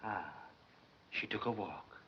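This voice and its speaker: An actor playing a stern, vindictive schoolmaster who questions in an insinuating, imperious way, in the manner of old film drama. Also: male voice, deep voice, slow, bright, forceful tone, exaggerated voice. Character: stern bullying schoolmaster, prying and vindictive inquisitor. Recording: dull, noisy old film soundtrack.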